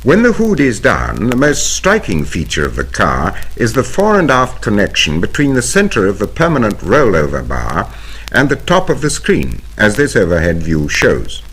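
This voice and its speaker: Casting: British male narrator for a vintage training film, lowish husky voice, formal and precise, 1970 vinyl record sound. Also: huge pitch swings, a bit bright, poor audio. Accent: British English. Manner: formal, precise, measured explanatory delivery. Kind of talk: scripted instructional narration.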